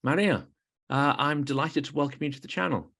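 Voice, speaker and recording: Measured male podcast host. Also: fairly high pitch, creaky, a bit bright. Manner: formal, measured presenter. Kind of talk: podcast intro and interview questions.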